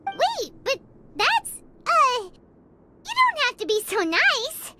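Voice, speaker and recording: A tiny, very high, chirpy companion. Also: female voice, slow pace, heavy background noise, exaggerated voice. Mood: chatty. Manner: chatty, blunt, excitable. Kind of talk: video game voice acting. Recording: game audio over loud music.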